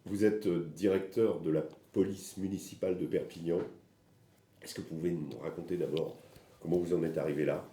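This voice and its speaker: A man's voice: deep voice, steady tone, a little fast, warm tone. A man interviewing a police director, asking questions hesitantly and searching for words. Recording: echoey room with faint background noise.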